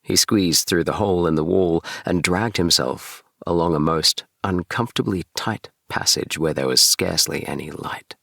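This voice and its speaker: A man narrating a bedtime audiobook in a gentle, soothing storytelling manner. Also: low voice, moderate pace, vocal fry, hardly any pauses, no echo, clean studio sound. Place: small DIY recording booth.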